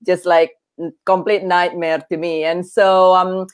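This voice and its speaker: An earnest middle-aged woman, Indonesian by birth, speaks in a somewhat low voice. She chats as a podcast guest, filling her sentences with ums and restarting her thoughts. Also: level tone, unhurried, smooth. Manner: earnest and chatty, many fillers and restarts. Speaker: guest, veterinary oncologist and grief coach. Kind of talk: podcast interview.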